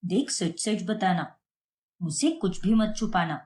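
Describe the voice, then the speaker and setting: A woman's voice: mid-range voice, measured pace, a little mellow, pitched below the performer's own voice, natural voice. A woman voicing a middle-aged neighbor aunty in a Hindi cartoon story, inquisitive and gossipy. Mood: prying.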